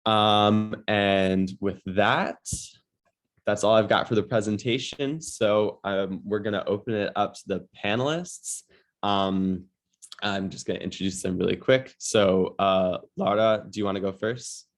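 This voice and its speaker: A man moderating an online webinar, giving advice in a casual, halting way full of ums and likes. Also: lowish voice. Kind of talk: casual presentation.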